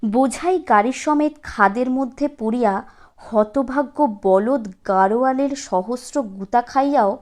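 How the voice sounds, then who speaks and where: Woman reading an old short story, high voice, really slow and measured, expressive audiobook style. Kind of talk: scripted audiobook narration, literary.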